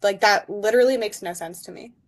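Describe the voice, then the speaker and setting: A woman's voice: medium pitch, a little fast, crowd noise. Woman, mid-range voice, livestream guest, casual conversation, informal. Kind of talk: casual chat.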